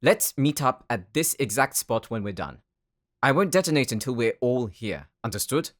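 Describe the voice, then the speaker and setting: A serious squad leader played by a man lays out tactics with earnest authority. He has a mid-pitched voice and the dutiful air of an audio drama soldier. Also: lively intonation, moderate pace, lightly acted. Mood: firm. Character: serious, dutiful male team leader on a combat mission. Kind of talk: audio roleplay acting.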